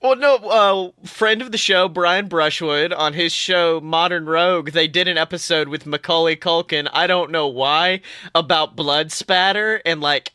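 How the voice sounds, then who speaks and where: A young adult podcast co-host chatting casually about fandom in an animated, exaggerated and joking way. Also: mid-range voice, a little slow, clean tone, no room sound, studio quality. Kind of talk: casual fan podcast chat.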